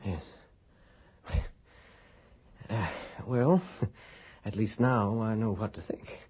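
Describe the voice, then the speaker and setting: An actor playing an earnest, persistent investigator in a radio horror drama, educated and polite, with hesitant, articulate questioning. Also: male voice, on the low side, drawn-out words, husky, full character voice. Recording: dull lo-fi radio recording.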